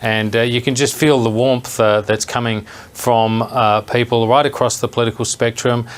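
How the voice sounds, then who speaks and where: An Australian man giving live political analysis, measured and reflective, with some hesitation. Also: medium pitch, flat delivery, clear, resonant tone. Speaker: political commentator.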